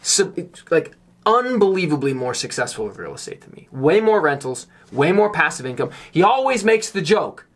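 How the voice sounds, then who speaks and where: A slightly hoarse man giving a candid, earnest vlog monologue, speaking emphatically as someone a little under the weather. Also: fairly high pitch, big pitch swings, normal speed. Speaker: YouTuber on real estate investing.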